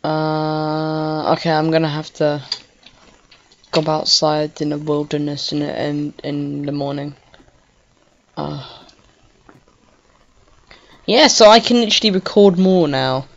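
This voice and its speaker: A woman gives casual, rambling commentary while playing a game, excitable and quick to swear. Her voice sits a little low for a woman. It comes through a home computer mic that sounds slightly dull and lo-fi. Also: creaky. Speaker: gaming video creator. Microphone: home computer microphone.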